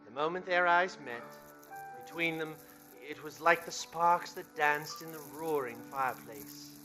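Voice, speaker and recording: An actor narrating a Christmas tale as an earnest storyteller in a radio play. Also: male voice, a little high, measured pace, sharp tone, faint music behind, rough recording. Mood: warm storytelling. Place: theater stage.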